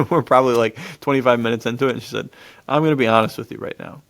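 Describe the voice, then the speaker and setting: A man's voice: mid-range voice, quick pace, creaky. An American man hosting a podcast, chatting casually and informally about films and telling anecdotes, often chuckling as he talks. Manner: chuckles often while talking; informal, slangy, says "dude" a lot. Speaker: podcast host. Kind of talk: casual film discussion and anecdotes.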